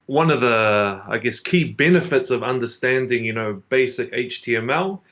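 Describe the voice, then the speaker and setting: A man's voice: medium pitch, normal speed, fairly bright tone. A man working in localization, explaining things hesitantly with many fillers on a video call panel. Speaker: localization specialist. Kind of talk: casual panel chat.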